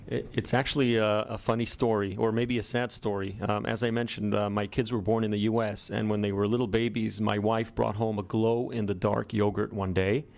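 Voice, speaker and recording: A middle-aged man with an American accent, a startup founder answering interview questions in a measured way with many ums and uhs. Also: lowish voice, flat delivery, gravelly, darkish tone. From born in Israel, grew up in the US.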